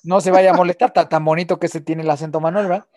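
Mexican guy hosting a podcast w/ his buddies, high voice, talks fast, teasing and swearing a lot. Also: steady tone, a little mellow, no room sound, clean studio sound. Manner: teasing, swears freely, quick banter. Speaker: podcast host. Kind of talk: casual chat.

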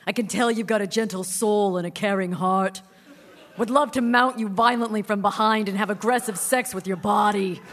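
Woman as a smitten dog, deadpan comedy personal ad, sincere, normal mid pitch. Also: normal speed, natural voice. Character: smitten dog, tender yet bluntly lustful. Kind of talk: comic staged reading of a personal ad.

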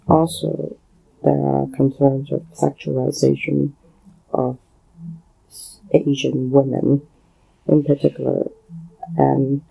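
Hong Kong-accented man, solo podcaster, gravelly, halting delivery, flat pitch. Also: poor audio. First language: Cantonese. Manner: halting, many fillers and pauses. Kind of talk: solo spoken commentary.

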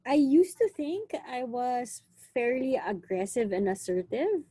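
Young Filipino woman telling stories in a mellow voice over a dry close mic, lively and chatty. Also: on the high side, a little slow, breathy edge, no room sound. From Philippines. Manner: lively, frequent "you know", mixes in Tagalog words. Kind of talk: casual conversation.

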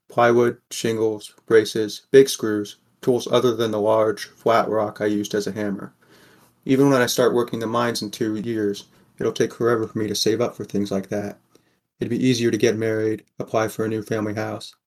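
A man's voice: lowish voice, close to an everyday voice. A man playing a weary, wry sixteen-year-old boy from a poor mining town, narrating a fan audio drama in the first person.

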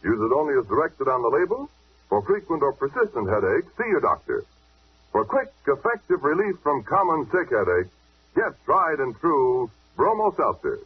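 A man's voice: medium pitch, sing-song, moderate pace, slight background noise. A man announcing in 1940s radio commercial style, with a punchy, emphatic sales delivery. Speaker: radio announcer reading sponsor ads. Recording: muffled old-time radio recording.

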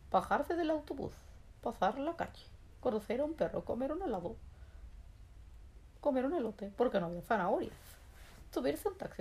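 Lady doing a super high little boy rabbit for a kids bedtime story, wide-eyed, swoops all over. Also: warm tone. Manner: wide-eyed, exclamatory, drawn-out words. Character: excitable, childlike little boy rabbit telling his adventures. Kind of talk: first-person children's bedtime story.

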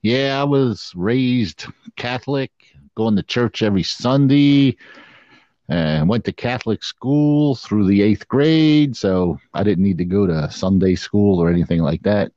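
A man's voice: lowish voice, unhurried, warm tone, no echo, muffled recording. A man co-hosting a podcast, chatting casually and telling stories with frequent chuckles. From Philadelphia area, USA. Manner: laid-back storytelling, chuckles often. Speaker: podcast co-host. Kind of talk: casual chat.

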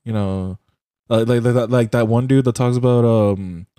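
A man's voice: medium pitch, steady tone, normal speed, warm tone, smooth, no echo, clean studio sound. A young man from Puerto Rico whose first language is Spanish, chatting casually and profanely over a voice call, rambling with lots of "bro" and "like". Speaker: podcast host. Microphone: headset or desk mic on a voice call.

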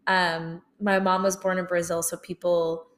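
A woman's voice: lowish voice, level tone, measured pace. A young woman, hosting a casual personal conversation in an affirming, chatty way. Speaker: podcast host.

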